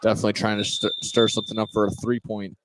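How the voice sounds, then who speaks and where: A man's voice: fairly low pitch, flat delivery, fast talker, poor audio. A man giving conversational, opinionated color commentary on a live basketball radio broadcast. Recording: live gym broadcast with background noise.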